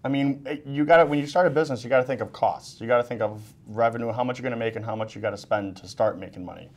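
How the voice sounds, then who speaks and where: A man's voice: mid-range voice, rapid-fire, a little mellow. Easygoing, jokey male show host. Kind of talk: casual panel chat. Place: TV studio, seated at desk.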